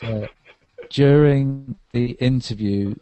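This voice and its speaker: A man's voice: mid-range voice, slow, mellow, slight background noise, low-quality recording. A tired man, a podcast co-host, chatting casually about tech while lying on a sofa.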